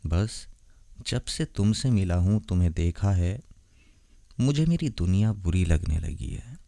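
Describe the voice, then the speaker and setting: A very low, mellow male voice from an actor gives us a centuries-old vampire boyfriend, lonely and tender. He speaks gently and intimately, as in a romantic ASMR roleplay. The sound is close and dry. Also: vocal fry, dry recording. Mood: lonely, wistful. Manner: soft, intimate, gentle, unhurried.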